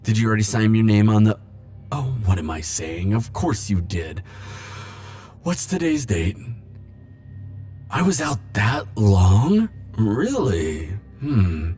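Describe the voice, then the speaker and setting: Man playing low, slow, flirty immortal charmer. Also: sing-song, mellow, faint music behind. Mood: absent-minded, chatty. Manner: coy, theatrical, drawn-out teasing phrasing. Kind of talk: ASMR roleplay audio acting.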